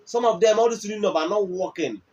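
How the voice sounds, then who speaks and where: A man's voice: sits high, quick pace, darkish tone. A man with a Nigerian accent presenting a product explainer, emphatic and repetitive, often checking with the listener through questions. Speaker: music equipment dealer and presenter. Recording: dull lo-fi audio, faint background noise.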